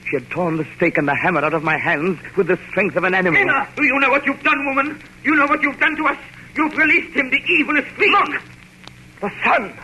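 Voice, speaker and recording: An anguished vampire hunter speaks in a forceful, widely swinging voice. He tells of horror urgently and vividly, in the style of vintage radio drama, on a noisy old recording. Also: extremely high pitch, expressive, fairly quick, exaggerated voice. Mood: frantic, appalled. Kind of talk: 1930s radio drama acting.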